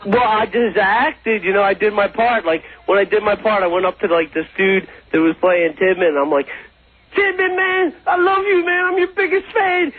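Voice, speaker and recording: A man's voice: high-pitched, fairly even intonation, normal speed, no room sound. A man in his twenties calling in to a radio show, rambling through casual anecdotes in slangy slacker talk over the phone. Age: 20s. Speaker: phone-in recurring guest. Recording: muffled phone call on radio.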